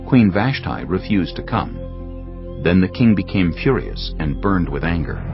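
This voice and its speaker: A male narrator with a very low voice reads a dramatized audiobook in a stately storytelling style. His intonation is lively and varied, and clear music plays behind him. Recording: slightly dull audio over music. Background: prominent background music.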